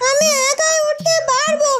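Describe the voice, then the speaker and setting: A woman's voice: extremely high pitch, level tone, normal speed, fairly bright tone. A woman voicing a naive, simple-minded young village girl in a Bengali cartoon, with a childlike, literal, pleading delivery. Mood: wary, earnest. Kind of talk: Bengali cartoon fairy-tale voice acting.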